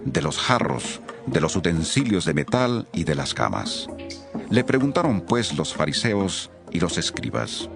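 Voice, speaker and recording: A man narrating scripture from a script, measured and solemn. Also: low voice, big pitch swings, gravelly. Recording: faint music bed under voice. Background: music.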